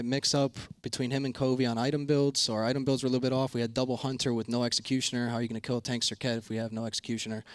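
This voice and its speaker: A man with a slightly hoarse, raspy voice, chatting informally in slang as a player giving a post-match interview and analysis. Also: medium pitch, no room sound. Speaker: pro esports player interviewed as guest analyst.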